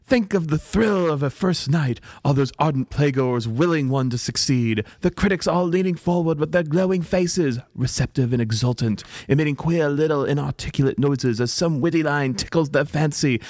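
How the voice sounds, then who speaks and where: A man's voice: on the high side, normal speed, few pauses, a little mellow, no echo, natural voice. A man playing a vain, melodramatic retired stage actress mother with a British accent, in an amateur read-through of a comedy. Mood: rapturous. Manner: grandiose, melodramatic delivery.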